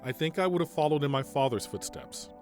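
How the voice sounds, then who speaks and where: An actor playing a calm, formal mercenary general in an audio drama, speaking in a measured way. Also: male voice, fairly high pitch, faint music behind, lightly acted. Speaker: military general.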